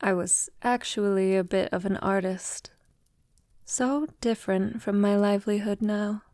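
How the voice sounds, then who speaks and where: An ancient, elegant vampire played by a woman speaks to her lover in an intimate audio roleplay. Her delivery is slow, soft and tender, drifting often into near-whisper. She is a refined, affectionate woman with formal phrasing, heard in a very dry sound. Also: medium pitch, steady tone, dry recording, close to an everyday voice. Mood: reflective, wistful. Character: affectionate centuries-old female vampire lover, refined and vulnerable.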